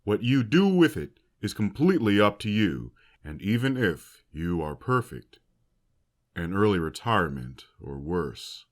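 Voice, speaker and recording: A man playing a stern but caring academy headmaster giving a formal, solemn speech in an audio drama. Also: sits low. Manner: solemn, oratorical.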